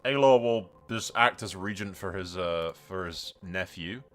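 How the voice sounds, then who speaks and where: A man's voice: low voice, a little slow, a bit bright. A man giving casual, informal commentary on a roleplay game, thinking aloud and slipping into storytelling. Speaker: gaming video host. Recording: music behind the voice.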